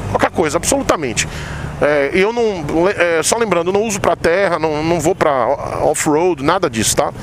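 A man's voice: a little high, animated, normal speed. Chatty forty-year-old man riding through traffic. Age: 40s. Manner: chatty, thinking aloud, frequent "tá?" and "galera" asides. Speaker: motorcycle vlogger. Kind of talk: casual spoken vlog while riding. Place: riding a motorcycle in heavy city traffic outdoors. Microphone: helmet or bike-mounted camera mic. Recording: loud road and engine noise, wind. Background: traffic and motorcycle engine.

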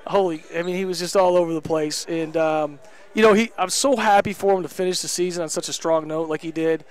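A man's voice: on the high side, steady tone, a little fast, clear, resonant tone. A man in his thirties, a head basketball coach, talking candidly after a game on a headset mic in a gym, with lots of fillers. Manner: candid, emphatic, many fillers. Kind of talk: post-game interview. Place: indoor gym court.

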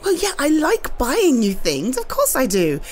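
A British woman playing an affectionate, slightly shy girlfriend in an intimate roleplay, speaking softly and hesitantly to her partner. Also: high voice, huge pitch swings, a little hiss or hum, exaggerated voice. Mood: tender, earnest. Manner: soft, hesitant, tender, with halting repeats.

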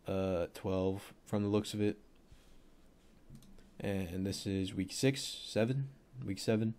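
A young man with a cold and a voice deeper than usual, casually rambling through a football film breakdown with plenty of fillers. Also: warm tone, clear, resonant tone. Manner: rambling, offhand, thinks aloud with many fillers.

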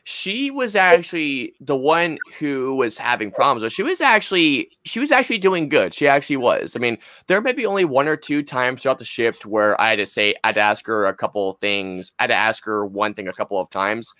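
A man's voice: mid-range voice, very animated, fairly quick, few pauses, sharp tone, dry recording. A man in his twenties chatting casually and telling stories in an emphatic, rambling, sweary way, close on a desk mic. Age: 20s. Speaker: podcast co-host. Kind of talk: casual chat, storytelling. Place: small room at home. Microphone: close desk mic on a boom arm.

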